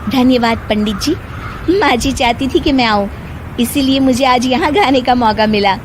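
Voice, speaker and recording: A woman voicing a sweet, polite and devoted young daughter-in-law in an animated moral story. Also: fairly high pitch, moderate pace, background noise, lightly acted. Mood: grateful.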